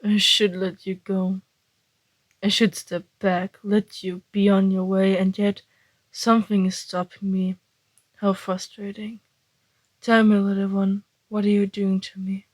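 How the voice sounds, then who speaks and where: A woman playing a suave, teasing male vampire in an ASMR roleplay, speaking in a breathy, deliberate, flirtatious half-whisper. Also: medium pitch, level tone, no echo, lightly acted, poor audio. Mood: amused, tempted.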